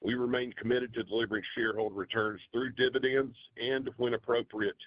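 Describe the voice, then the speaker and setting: A man's voice: mid-range voice, steady tone, a little fast, no echo. A man giving a scripted financial report on an earnings call as a company finance executive, in a formal, businesslike way. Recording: dull, dry conference call audio.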